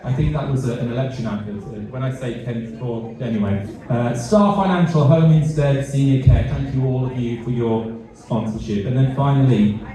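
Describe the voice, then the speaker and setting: British guy giving a charity speech, kinda mellow, repeats himself, sounds far off in a big hall. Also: mid-range voice. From Britain. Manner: halting, repeats words, self-deprecating. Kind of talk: fundraiser speech with light humour. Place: large event hall. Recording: echoey hall, mic heard from a distance.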